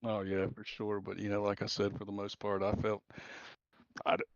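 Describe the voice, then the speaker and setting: Gravelly man over dull, roomy voice chat. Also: fairly low pitch, monotone. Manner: plain-spoken, self-blaming, halting. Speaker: sim racing driver being interviewed. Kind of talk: casual post-race interview. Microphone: remote voice chat into the broadcast.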